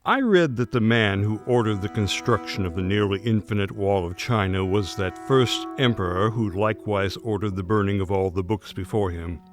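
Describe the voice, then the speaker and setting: Male essay reader, low register, formal and measured, over plucked guitar music. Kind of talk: literary essay reading.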